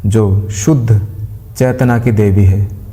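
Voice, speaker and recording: A man guiding a meditation as a yoga teacher, giving gentle instructions in unhurried phrases with pauses. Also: on the low side, fairly even intonation. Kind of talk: guided meditation with mantra chanting. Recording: long hall-like reverb.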